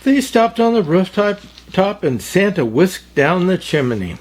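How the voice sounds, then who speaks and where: A male storyteller reads to children in a theatrical, deliberate style. His voice is a little high and husky, with very lively rises and falls through each phrase. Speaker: storyteller, Santa story-time host. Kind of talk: children's storybook read-aloud.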